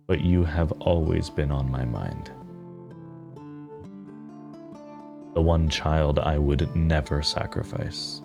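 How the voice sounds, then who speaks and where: Tabletop game mother figure male performer: grave, ruthless, devoted, very slow delivery, deep voice, measured pauses. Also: creaky, full character voice. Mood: solemn, intense. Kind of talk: improvised tabletop roleplay.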